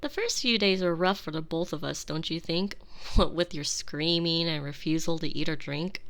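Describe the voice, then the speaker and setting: A woman's voice: low voice, fairly bright tone. A woman playing an obsessive, cheerful female kidnapper in an ASMR roleplay, doting and menacing, talking with syrupy affection and condescension close to a binaural mic. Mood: satisfied, cooing. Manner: saccharine, slow, affectionate, condescending. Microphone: close binaural microphone.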